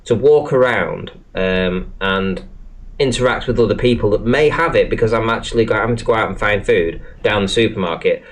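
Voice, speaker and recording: A man's voice: lowish voice, a little fast. A British man in casual, rambling online discussion, speaking earnestly and hesitantly with some swearing. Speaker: podcast panellist. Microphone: online group call microphone.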